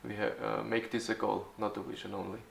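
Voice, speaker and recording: A man in his forties from Austria, answering interview questions haltingly, with many fillers and restarts. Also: lowish voice, level tone, creaky, roomy sound. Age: 40s. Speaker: youth football club organizer.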